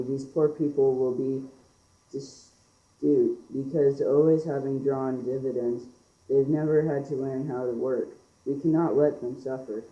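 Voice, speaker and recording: A man's voice: medium pitch, close to an everyday voice. A young teenage boy playing a blustering, formal British factory owner in an amateur school radio play. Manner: formal, declamatory. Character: blustering pompous British factory owner fretting over stockholders. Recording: muffled, echoey amateur room recording.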